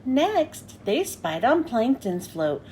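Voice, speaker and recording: A woman reading a picture book aloud to children with an expressive, animated delivery. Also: on the high side, slow, slight background noise.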